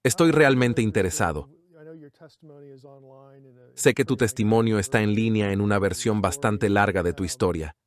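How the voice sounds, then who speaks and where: Low-voiced man hosting an interview. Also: normal speed, pauses often. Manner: inquisitive, guiding questions. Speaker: interview host.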